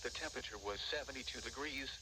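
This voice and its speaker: A man's voice: mid-range voice, fast talker. A man announcing a scripted weather bulletin, heard over a radio. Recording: hissy radio broadcast through small speaker.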